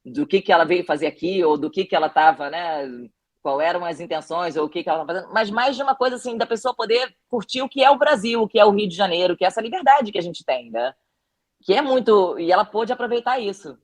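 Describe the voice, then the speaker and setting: A woman's voice: mid-range voice, lively intonation, quick pace. A woman with a Brazilian Portuguese accent talks through her documentary in a podcast interview. She tells anecdotes reflectively, circling back and restarting her sentences as she searches for the right words. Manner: reflective storytelling with frequent restarts. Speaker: documentary director.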